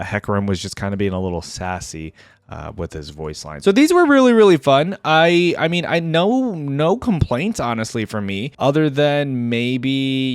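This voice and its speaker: A man hosting a reaction video, giving informal, off-the-cuff commentary in a halting, reflective way. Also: medium pitch, no room sound.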